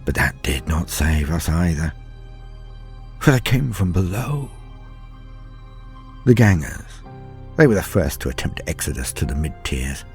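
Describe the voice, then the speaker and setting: A man's voice: a bass voice, big pitch swings, measured pace, pauses often, faint music behind, exaggerated voice. A man playing a haunted, fearful, weary soldier, telling a scripted war horror story with grave, theatrical delivery. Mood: grim, tense.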